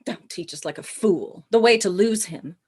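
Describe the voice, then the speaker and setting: A woman's voice: mid-range voice, normal speed, darkish tone, slightly rough, natural voice. A woman playing a capricious, jealous, imperious queen in a Shakespearean radio play, with melodramatic delivery. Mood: scheming, haughty.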